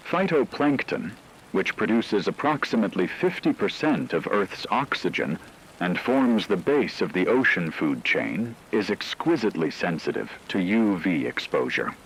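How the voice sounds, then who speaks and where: Deep, grave male actor as radio news broadcaster. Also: big pitch swings, vocal fry, fairly bright tone, a little hiss or hum, exaggerated voice, poor audio. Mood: grave, explanatory. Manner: measured, grave, formal. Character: grave radio broadcaster reporting a global catastrophe. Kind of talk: scripted emergency radio report.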